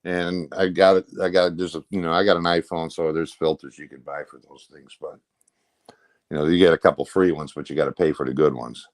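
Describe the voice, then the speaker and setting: A man with a low voice and a dry, close sound, talking casually as a guest on a show. He is blunt and folksy, wandering along in short phrases. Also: fairly even intonation, a little fast, dry recording. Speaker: interview guest. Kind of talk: casual chat.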